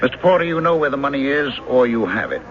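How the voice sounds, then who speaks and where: An actor playing a blunt, skeptical police lieutenant in a radio drama, terse and probing. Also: male voice, mid-range voice, sing-song, fast talker, crowd noise, close to an everyday voice. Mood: probing, businesslike. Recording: dull noisy vintage radio drama recording.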